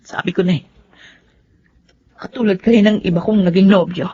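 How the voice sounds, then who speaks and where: A woman's voice: medium pitch, steady tone, normal speed, warm tone, breathy edge, lightly acted. A woman playing a wounded but proud young woman in a Tagalog radio drama. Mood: hurt, proud. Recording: muffled lo-fi audio.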